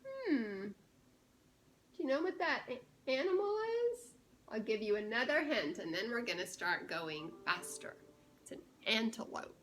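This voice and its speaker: A woman reading a picture book aloud to young children in a playful, expressive way, pausing to ask guessing questions. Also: on the high side, a little hiss or hum. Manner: playful, expressive, drawn-out delivery of key words with teasing pauses. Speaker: children's storybook reader and church children's ministries director.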